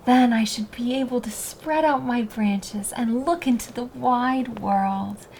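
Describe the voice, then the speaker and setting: A woman's voice: fairly high pitch, takes it slowly, vocal fry, a little mellow, slight background noise, low-quality recording. A woman voicing a restless, discontented young male fir tree in a children's storybook, wistful and full of eager questions. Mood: wistful sighing.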